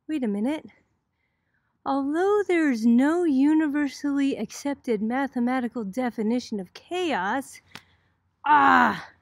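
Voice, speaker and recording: A middle-aged woman with a high voice delivers an informal educational talk. She explains things conversationally, as a college lecturer would in a homemade video. The sound is dry, with little room echo. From Boston, United States.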